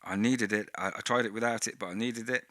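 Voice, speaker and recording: A man talks through his gear in a relaxed tutorial, his lowish voice bright and forward. He sits close to a vocal mic with a pop screen, at times right against it. The sound is dry and close. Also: quick pace, no echo. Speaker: host. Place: indoor room, dim light. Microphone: vocal mic with pop screen through mixer.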